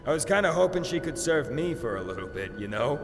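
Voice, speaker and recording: An actor playing a cocky, crude young warrior in a fantasy audio drama, talking in a flippant, boastful, casual way. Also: male voice, on the high side, big pitch swings, brisk. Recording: long reverb, music underneath.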